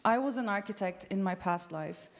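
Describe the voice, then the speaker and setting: Woman, 30s, from Turkey, festival stage talk, mid-pitched, casual with fillers. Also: normal speed. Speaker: studio co-founder giving a festival talk. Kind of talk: conference stage presentation. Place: large festival venue stage.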